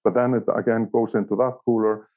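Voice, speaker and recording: A man from Iceland with an Icelandic accent, answering casually as an interview guest in a halting way, repeating words as he searches for phrasing. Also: fairly low pitch, flat delivery. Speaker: interview guest, company operations director. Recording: thin phone-like call audio.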